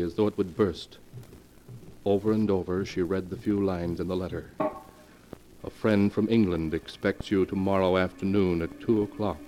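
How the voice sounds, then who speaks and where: A man's voice: sits low, close to an everyday voice. A man narrating macabre tales in a solemn, melodramatic 1940s radio horror style, drawing out his words. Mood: tense, hushed. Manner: solemn, melodramatic, drawn-out delivery. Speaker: announcer and narrator of a radio mystery series. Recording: noisy vintage 1940s radio recording.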